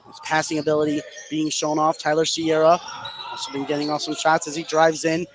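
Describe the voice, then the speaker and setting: A male basketball commentator with a high voice calls the game live for radio. He follows the action pass by pass and grows excitable on the big plays. Clear gym noise runs behind him. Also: fairly quick, low-quality recording. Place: indoor basketball gym, courtside.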